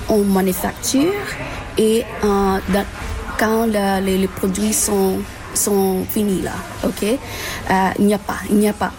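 A woman from the Philippines, answering an interview at a climate event in halting speech full of fillers and restarts. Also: mid-range voice, rough recording. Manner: halting, many fillers and restarts, mixes in English terms. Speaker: conference participant.

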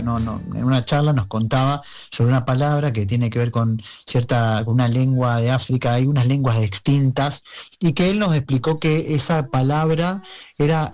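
A man with an Argentine accent speaking as a musician guest in an interview, reflective and halting, often checking for agreement. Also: on the high side, darkish tone. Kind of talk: conversational interview.

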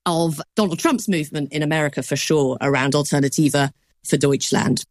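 Woman reporter walking through a news story, low voice, careful hedging, dead dry sound. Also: dry recording. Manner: measured, explanatory, hedging with qualifiers. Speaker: news correspondent. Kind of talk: news explainer.

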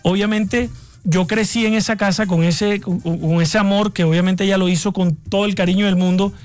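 A man's voice: high-pitched, steady tone, normal speed. A man in his thirties with a Barranquilla costeño accent, a singer talking as a guest in a radio interview, often repeating words while he searches for them. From Colombia, raised in Barranquilla.

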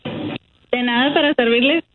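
High-voiced woman on a tinny phone. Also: a little slow, faint music behind. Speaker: van rental company phone agent. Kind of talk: polite customer service phone call. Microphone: telephone. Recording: tinny phone line with chatter.

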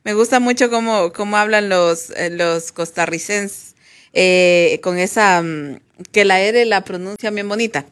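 A woman's voice: mid-range voice, unhurried. A woman with a Honduran Spanish accent hosting a radio show, chatting informally and relaying messages from listeners. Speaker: radio host. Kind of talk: casual talk radio chat, reading listener messages.

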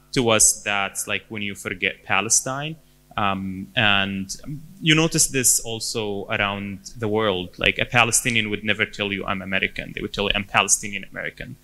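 A man in his thirties with a Syrian accent, answering interview questions in a halting way, with many fillers and restarts. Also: lowish voice, pushed and bright. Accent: Syrian Arabic. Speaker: interview guest.

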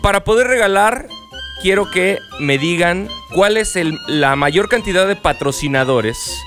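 A man with a Mexican accent hosting a casual sports show, telling stories in a lively, joking way. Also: high-pitched, unhurried, music in the background. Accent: Mexican Spanish. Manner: lively, jokey, animated storytelling.

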